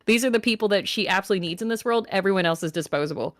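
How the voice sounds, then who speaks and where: A woman's voice: mid-range voice, racing. A woman chatting casually as a guest, with a wry, meandering way of explaining her thoughts. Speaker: guest cast member. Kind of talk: casual chat.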